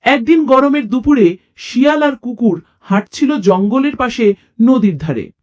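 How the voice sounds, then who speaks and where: Slow, expressive children's storyteller. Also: mid-range voice, lo-fi sound. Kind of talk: scripted narration of funny children's stories.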